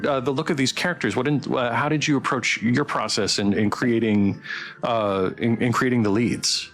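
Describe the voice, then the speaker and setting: A man's voice: medium pitch, steady tone, moderate pace, a bit bright. A man hosting a casual livestream interview, speaking in an effusive, encouraging way and often rephrasing his questions as he goes. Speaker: host. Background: background music.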